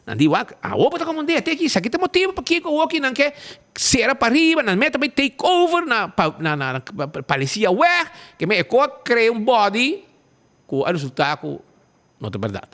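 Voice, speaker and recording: A man hosting a live show, announcing prizes and talking through a local dispute with animated, stumbling restarts. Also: extremely high pitch, a little fast. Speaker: show host. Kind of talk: casual live show chat and commentary.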